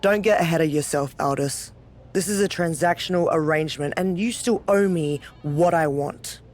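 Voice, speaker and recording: A woman's voice: low voice, moderate pace, a little mellow, lightly acted. A woman playing a cocky, dominant, sardonic wrestler who relishes chaos in a video game cutscene. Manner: sardonic, self-assured. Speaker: pro wrestler character.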